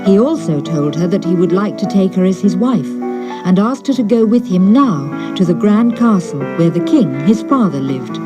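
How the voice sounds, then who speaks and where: Animated woman storyteller over music. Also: medium pitch, normal speed. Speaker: audiobook narrator. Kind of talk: expressive fairy-tale storytelling.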